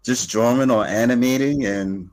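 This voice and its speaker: A man's voice: mid-range voice, moderate pace, a little mellow. A man hosting an interview in a casual, hesitant way, with many fillers. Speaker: podcast host.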